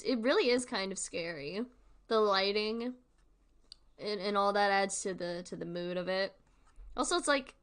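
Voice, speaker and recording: A woman's voice: on the high side, a bit bright. A woman hosting a livestream with casual, informal commentary, rambling and thinking aloud as she goes. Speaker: livestream host.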